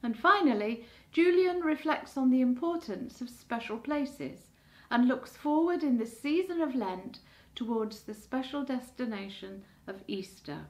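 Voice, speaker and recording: Older woman reading a calm introduction. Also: sits high, unhurried, rough recording. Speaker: co-presenter. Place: living room at home.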